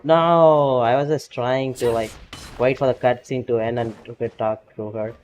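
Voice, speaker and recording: A man with a non-native English accent chatting casually while streaming a game, halting and full of fillers. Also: medium pitch, steady tone, darkish tone, slight background noise. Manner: halting, filler-heavy, self-conscious. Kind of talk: casual live game commentary.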